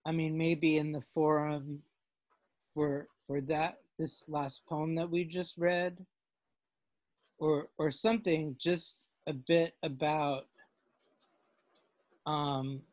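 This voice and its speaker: A man leading a casual class discussion over a video call, halting and full of restarts. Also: fairly high pitch, little pitch movement, darkish tone, no room sound. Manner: halting, many fillers and restarts.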